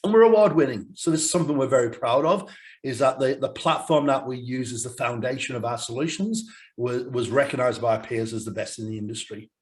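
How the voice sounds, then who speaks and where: Croaky-voiced man presenting a webinar. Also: mid-range voice, brisk, a little mellow. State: croaky voice from a cold, coughing. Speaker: sales director presenting a webinar. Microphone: video call.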